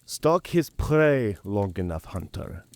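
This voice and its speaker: Man voicing a slow-spoken, proud werewolf. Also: fairly low pitch, very animated, a little mellow, no room sound, exaggerated voice. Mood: blunt, wary. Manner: formal, archaic, solemn phrasing. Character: savage, cunning male werewolf, proud, honourable in defeat. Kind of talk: cinematic audio roleplay acting.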